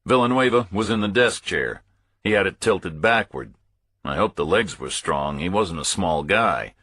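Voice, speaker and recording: A man's voice: low-pitched, animated, moderate pace, sharp tone, breathy edge, dry recording, studio quality. A man reading a first-person thriller audiobook as a scripted narrator, in terse, clipped phrases.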